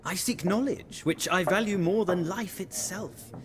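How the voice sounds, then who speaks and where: An actor playing a pompous, eager, bookish scholar in a video game, speaking in a florid and theatrical manner. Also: male voice, high-pitched, unhurried. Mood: lofty, earnest. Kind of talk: video game character dialogue. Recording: crowd chatter behind the voice.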